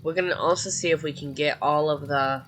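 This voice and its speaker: A man's voice: on the high side, fairly even intonation, brisk, a bit bright, a little hiss or hum. Congested young man chatting over gameplay. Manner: chatty and rambling, talking to viewers. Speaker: gameplay streamer. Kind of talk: casual let's-play commentary.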